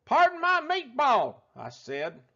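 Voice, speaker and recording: A man voicing a folksy, excitable restaurant owner telling a tall tale in a theatrical drawl for a children's storybook. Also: very high-pitched, slow pace, highs cut off, pitched above the performer's own voice, heavily acted. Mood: folksy, excited. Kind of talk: animated children's storybook read-aloud.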